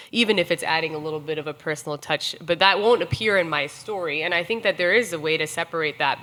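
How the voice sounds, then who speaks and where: A woman news reporter on a panel, speaking in a measured, careful way. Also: low voice, bright, forceful tone. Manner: measured, careful, stresses facts. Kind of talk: panel discussion.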